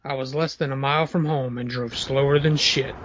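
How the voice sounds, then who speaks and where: A man's voice: medium pitch, fairly even intonation, fairly quick, highs cut off, noisy background, pitched below the performer's own voice, close to an everyday voice. An amateur actor playing a drugged, foul-mouthed rich man, panicky and frantic, in an audio drama. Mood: wry, resentful.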